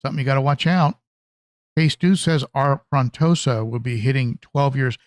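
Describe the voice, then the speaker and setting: A man hosting a casual hobby livestream, talking conversationally and haltingly, often repeating phrases as he answers viewers. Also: mid-range voice, no echo. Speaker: livestream host, aquarium hobbyist.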